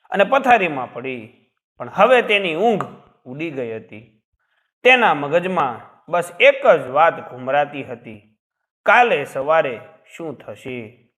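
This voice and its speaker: A man narrating a scripted dramatic story in a suspenseful, expressive way. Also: on the high side.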